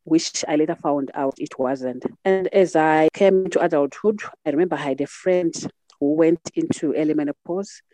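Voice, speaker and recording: A middle-aged woman with a Zimbabwean accent shares personal memories as an interview guest. Her voice is soft-edged and mellow, and the recording is close and dry. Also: sits low, normal speed, no echo, poor audio. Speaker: podcast guest. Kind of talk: reflective personal storytelling in an interview.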